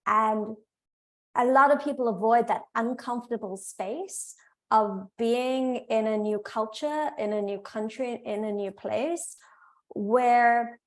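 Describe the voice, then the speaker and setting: A woman's voice: fairly high pitch, takes it slowly. A woman panelist speaking earnestly and thoughtfully. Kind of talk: panel discussion.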